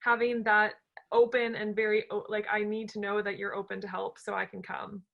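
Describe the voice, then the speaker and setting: A woman hosting an informal panel, talking in a halting, rambling way as she thinks aloud. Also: medium pitch, flat delivery, vocal fry, dull audio. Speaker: panel host, entrepreneur.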